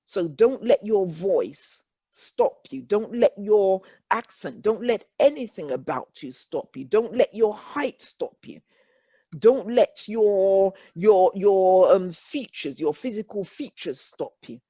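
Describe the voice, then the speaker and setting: An English woman leads an online workshop, speaking earnestly and circling back to her reassurances. Her voice sits at a middle pitch. The call audio is dull and lo-fi, with a dry sound. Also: animated, slow, warm tone, slightly rough, no room sound. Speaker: workshop facilitator. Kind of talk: encouraging workshop talk.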